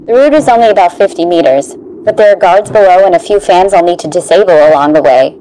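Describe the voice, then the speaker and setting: A woman's voice: lowish voice, fast, dark tone, slight background noise, close to an everyday voice, low-quality recording. A woman playing an alert female scout who guides a stealth infiltration in an audio drama. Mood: businesslike, cautioning.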